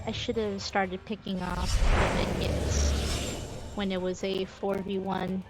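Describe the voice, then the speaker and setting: A woman's voice: medium pitch, flat delivery, a little slow. A woman game streamer giving casual live commentary, musing aloud as she thinks things through. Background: music.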